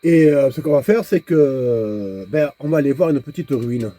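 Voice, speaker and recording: A man's voice: mid-range voice, lively intonation, moderate pace, warm tone, no echo, soft background music. A man vlogging in a casual, rambling monologue, talking straight to the viewer and often repeating words while he searches for the next one. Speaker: nomad vlogger.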